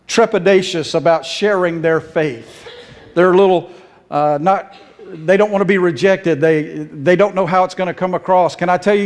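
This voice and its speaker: A man in his fifties with a Southern American accent, preaching a sermon on a church stage and moving around as he speaks. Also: high-pitched. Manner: earnest, emphatic, pacing the stage and gesturing. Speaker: pastor. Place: church sanctuary stage, indoors.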